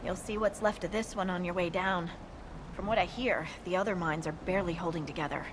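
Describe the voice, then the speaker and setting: Low-toned, easygoing female dwarf scout voiced by a woman. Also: fairly even intonation, a little fast, background noise, natural voice. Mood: dry, easygoing. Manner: casual, understated, dryly humorous. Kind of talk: video game voice acting.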